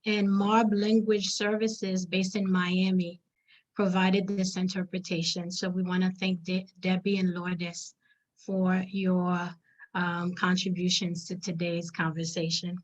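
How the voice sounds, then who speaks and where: A woman whose native tongue is Haitian Creole, hosting an online panel and giving earnest, measured closing thanks with pauses. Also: lowish voice, level tone. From Haiti or Haitian diaspora. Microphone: video call. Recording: dull lo-fi video call audio.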